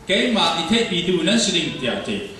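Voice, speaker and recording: Bright-voiced hesitant church interpreter. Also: male voice, high voice, very animated, slight background noise. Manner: hesitant, halting, many fillers. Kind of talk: live sermon interpretation. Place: church sanctuary. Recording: echoey church hall from far off.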